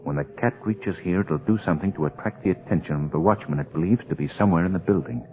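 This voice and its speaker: An actor playing a bold, protective adventurer in a 1930s radio drama, terse and commanding, on a muffled old recording. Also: male voice, sits low, fast, natural voice. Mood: resigned, watchful. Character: bold, brusque, protective male adventurer hunting a beast.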